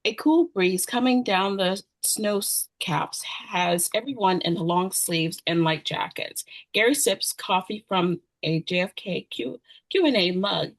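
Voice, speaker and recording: A woman reads out a screenplay's stage directions as narrator. Her pitch sits in the middle range, and she now and then trips on a word and repeats it. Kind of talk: screenplay table read narration.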